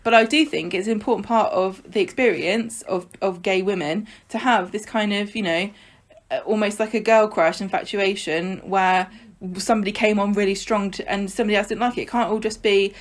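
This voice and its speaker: A woman's voice: mid-range voice, steady tone, fairly quick, hardly any pauses. Chatty woman podcast co-host. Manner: chatty, frank, trailing off mid-thought. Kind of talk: casual chat about a soap opera.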